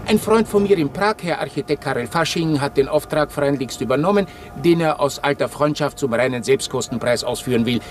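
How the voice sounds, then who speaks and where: A man's voice: on the high side, fairly quick, faint music behind, close to an everyday voice. An actor playing an ingratiating clergyman nephew reading his letters in a radio drama, formal and flowery in manner. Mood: persuasive.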